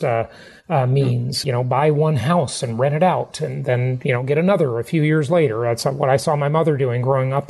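A man's voice: fairly high pitch, creaky, darkish tone. A man hosting a casual interview, asking questions and adding his own remarks. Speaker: podcast host, interviewer.

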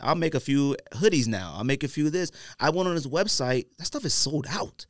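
A man's voice: on the high side, fairly quick, no room sound, studio quality. An American man hosting a podcast, talking casually in slang and acting out imagined quotes as he tells it. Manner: animated, slangy storytelling with acted-out imagined quotes. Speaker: podcast host.

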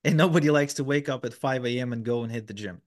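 A man's voice: mid-range voice, fast talker. A man answering interview questions in a casual, explanatory way, with frequent fillers and restarts. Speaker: podcast guest.

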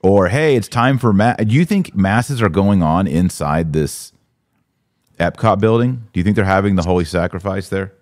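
A man's voice: sits low, animated, normal speed, a little mellow. An American man hosting a podcast in a casual, opinionated and joking conversational way. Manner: informal, joking, reads out listener comments. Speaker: podcast host.

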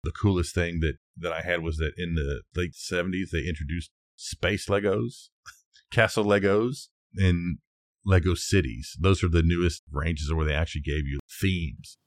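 A man's voice: low-pitched, normal speed, no echo, studio quality. A middle-aged man co-hosting a podcast, casually sharing facts in an informal, loosely structured way. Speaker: podcast co-host.

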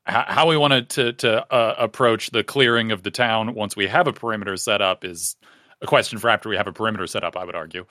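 A man playing a tabletop roleplaying game, talking casually and wryly as he thinks his plans out loud. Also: on the low side, fast, dry recording, studio quality. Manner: wry, talky, thinks aloud.

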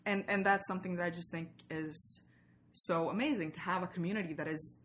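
A woman's voice: lowish voice, steady tone, a little fast, fairly bright tone. A woman being interviewed as a podcast guest, explaining things casually in a rambling, slangy way. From Sweden. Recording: clearly noisy background ambience.

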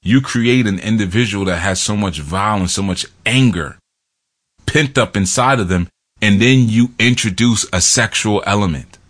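A man's voice: on the low side, fairly bright tone. A man hosting casual film commentary, rambling and digressive with lots of fillers.

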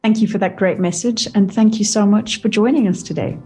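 A woman's voice: medium pitch, a little fast, mellow, slightly rough, faint music behind. A woman hosting a radio interview show, encouraging her guest and closing the show with a polished sign-off.

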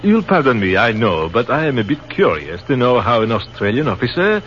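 An actor playing a courteous artillery captain in a 1930s radio drama, formal and measured. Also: male voice, mid-range voice, big pitch swings, a bit bright. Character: courteous French artillery captain, lonely traveller. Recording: dull old radio recording with faint hiss.

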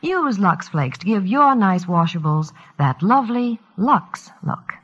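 A woman's voice: mid-range voice, animated, measured pace, husky. A woman reading a scripted old-time radio commercial with a sales delivery. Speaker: commercial announcer. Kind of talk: 1940s-50s radio ad read. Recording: dull old radio broadcast.

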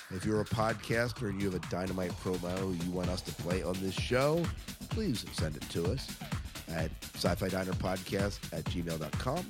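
Low-voiced man podcasting over music. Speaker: podcast host. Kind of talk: casual podcast chat. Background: music under the voice.

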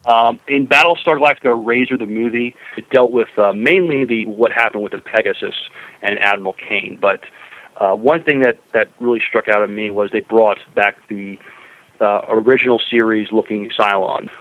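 A man co-hosting a casual science fiction podcast, speaking in a hesitant, halting way on a dull, lo-fi recording. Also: on the low side, no echo. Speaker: podcast co-host. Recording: dull, lo-fi voice channel.

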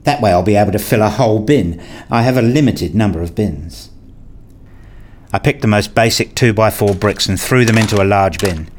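A man acting as a wounded, self-justifying father in a radio play, speaking in an introspective, deliberate way. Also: on the low side, lightly acted. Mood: subdued, focused. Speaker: narrator and lead actor in a radio play. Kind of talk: radio play narration and dialogue.

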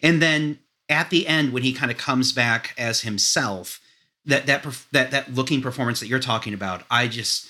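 A man's voice: mid-range voice, moderate pace, pushed and bright. A man speaking as a podcast guest, casually giving his critical take on films. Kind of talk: casual film discussion.

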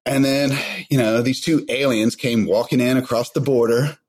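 A man chats on a podcast in a relaxed, drawling way, swearing and joking as he asks his guest questions. His voice is husky and slightly breathy, at an ordinary pitch for a man. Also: normal speed. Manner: laid-back, crude joking, drawling. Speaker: podcast co-host asking questions. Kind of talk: casual podcast chat.

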